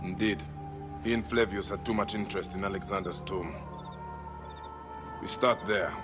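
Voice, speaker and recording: An actor playing a vengeful, grieving warrior who leads a brotherhood, speaking with intense, earnest oratory in a video game. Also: male voice, sits low, steady tone, normal speed, lightly acted. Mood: grave, determined. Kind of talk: dramatic video game acting. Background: dramatic game music.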